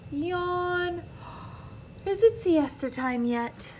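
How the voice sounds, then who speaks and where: A woman's voice: very high voice, animated, very slow, slight background noise. An American woman, around her thirties, reads a children's picture book aloud like a teacher, expressively and carefully, with friendly asides to young listeners. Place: indoor classroom.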